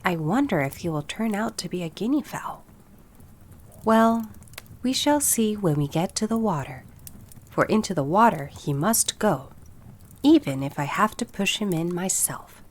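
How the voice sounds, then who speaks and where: A woman's voice: mid-range voice, expressive, unhurried. A woman voicing a fond, proud and bossy mother duck in a storybook, with a fussy, instructive manner. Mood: appraising, proud. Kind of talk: storybook character voice.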